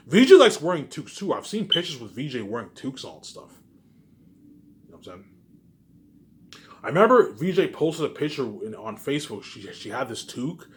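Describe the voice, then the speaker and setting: A man talks casually to his viewers at an ordinary pitch, with faint noise behind him. He rambles and repeats himself, sounding boastful and sure of himself, jumping from loud, excited bursts to low muttered asides. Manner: repetitive, with emphatic catchphrases; boastful, self-assured. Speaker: vlogger.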